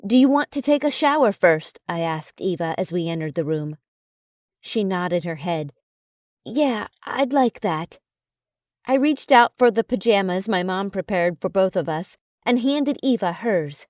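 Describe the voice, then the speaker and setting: A woman narrating an audiobook in a calm, even storytelling manner. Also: medium pitch, dry recording, studio quality. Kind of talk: audiobook narration of a romance novel.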